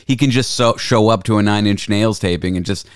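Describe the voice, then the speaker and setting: A man's voice: on the low side, a little fast, clear, resonant tone, no echo, clean studio sound. A man hosting a podcast, chatting loosely and telling stories with the odd casual swear. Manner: relaxed storyteller, swears casually. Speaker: podcast host. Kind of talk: casual conversation.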